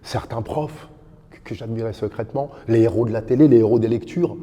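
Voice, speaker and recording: Guest, man in his fifties, earnest storyteller; mellow, husky voice with a long hall echo. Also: medium pitch, brisk. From born in Dakar, raised in France. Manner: earnest, builds long lists with rising emphasis. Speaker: podcast guest, kickboxing champion. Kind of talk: reflective storytelling conversation.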